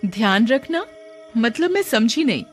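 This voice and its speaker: A woman's voice: a little high, big pitch swings, unhurried, soft background music. A woman voicing an anxious, easily frightened young wife in a cartoon horror story. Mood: puzzled.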